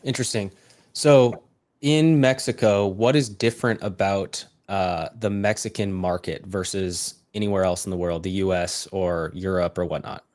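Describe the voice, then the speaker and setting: Low-voiced man asking interview questions. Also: steady tone, moderate pace, darkish tone. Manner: brief, curious questioning. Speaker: podcast host.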